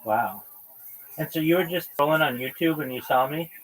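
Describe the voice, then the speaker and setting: A man's voice: fairly high pitch, normal speed, a bit bright, slight background noise, low-quality recording. A man hosting a livestream, chatting casually with a caller, reacting briefly and asking easy questions. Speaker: livestream host. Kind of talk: casual chat.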